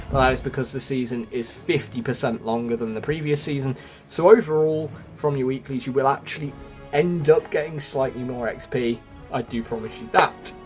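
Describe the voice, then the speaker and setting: A man hosting a gaming guide, explaining things step by step to viewers in a scripted narration style. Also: medium pitch. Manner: explanatory, addresses viewers as folks. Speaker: gaming video host. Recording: music under the voice. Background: music.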